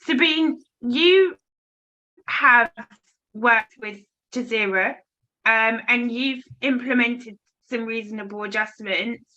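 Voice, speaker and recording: A woman's voice: a little high, takes it slowly, pushed and bright. A woman moderating a panel discussion, putting questions to guests with some restarts. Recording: dull lo-fi audio.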